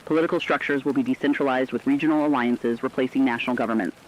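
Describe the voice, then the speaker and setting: A reflective male radio commentator voiced by an actor addresses apocalypse survivors with solemn, philosophical earnestness. His medium-pitched voice comes through slightly muffled, with a light layer of noise underneath. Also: steady tone, fast talker, natural voice. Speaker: radio co-host.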